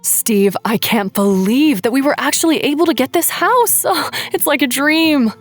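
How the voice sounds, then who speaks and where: An anxious wife and mother in a ghostly audio drama, acted by a woman. Her voice sits fairly high, and light music plays underneath her lines. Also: animated, moderate pace. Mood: pleased. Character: anxious wife and mother in haunted new house.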